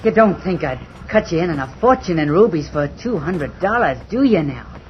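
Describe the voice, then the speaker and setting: A treacherous, greedy sea rogue acted by a man in an old radio play. His highish, theatrical voice swoops and wheedles, on a dull recording with faint noise. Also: expressive, moderate pace, natural voice. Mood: menacing. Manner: theatrical, wheedling, swinging from groans to roars. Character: Morgridge, greedy, treacherous male seafaring rogue. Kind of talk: 1950s old time radio drama acting.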